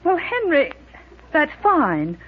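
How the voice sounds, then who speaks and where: A woman's voice: sits high, wildly swooping pitch, takes it slowly, exaggerated voice. A woman playing a fussy, insistent middle-aged mother and wife in 1940s radio comedy. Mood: coaxing. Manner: prim, insistent, long-suffering. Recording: dull lo-fi 1940s radio broadcast.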